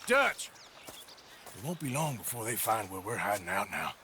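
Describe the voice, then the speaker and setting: An actor playing a weary, blunt outlaw gunslinger in a video game, with a gravelly drawl and a wary manner. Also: male voice, on the low side, very animated, unhurried, bright, forceful tone, slight background noise, exaggerated voice. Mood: sardonic, uneasy. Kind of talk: video game cutscene acting. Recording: music behind.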